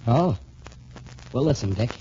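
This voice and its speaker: An actor playing a skeptical, loyal student sidekick with slangy, exclamatory talk in a 1940s radio serial. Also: male voice, mid-range voice, expressive, unhurried, mellow, natural voice. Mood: wary. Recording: muffled, noisy 1940s radio recording.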